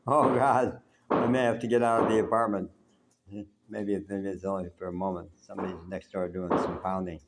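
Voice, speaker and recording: An older man from the United States with a very low, deep voice talks through a long reflective story in a loose, meandering way. He has a big voice, and faint background noise sits under the recording in his apartment. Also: flat delivery, fairly quick. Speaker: vlogger. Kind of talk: reflective solo talk.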